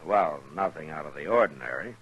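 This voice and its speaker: A low, husky American marshal from a vintage radio western. He is calm and steadfast, speaking in measured, laconic lines with a firm bright edge. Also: lo-fi sound, heavily acted. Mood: calm, dry. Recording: vintage 1950s radio recording.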